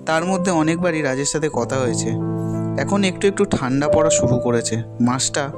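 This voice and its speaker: A man's voice: fairly high pitch, lively intonation, moderate pace. A man narrating a first-person story as a storyteller. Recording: loud plucked-guitar music behind voice. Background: guitar music.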